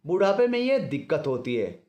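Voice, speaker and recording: A female doctor, played by a male narrator in an audio story. The delivery is polite and reassuring, explaining patiently in a slightly high, varied voice. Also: big pitch swings, moderate pace, darkish tone, highs cut off.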